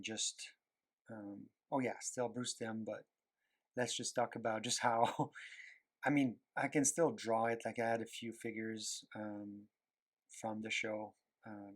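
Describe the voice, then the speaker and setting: A man with a Quebec French accent, a native French speaker, chatting casually and searching for words as he talks while drawing. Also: lowish voice. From Quebec, Canada. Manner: rambling, searching for words, many fillers. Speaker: animator and illustrator.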